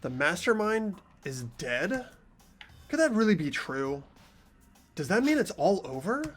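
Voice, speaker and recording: A man plays an earnest teenage protagonist from a video game. His voice is fairly high and very animated, full of anxious questioning, with faint music behind. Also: unhurried, heavily acted. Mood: puzzled. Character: earnest, anxious teenage boy narrating a mystery. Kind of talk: amateur voice acting of a video game.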